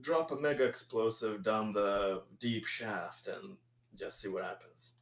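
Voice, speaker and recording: A man playing a tabletop game, chatting casually and describing his character's actions in a halting, chuckling way. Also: on the low side, creaky. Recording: roomy echo.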